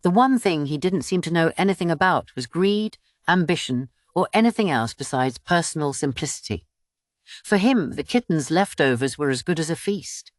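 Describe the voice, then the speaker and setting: Lively low-voiced woman narrating an audiobook. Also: normal speed, no room sound, studio quality.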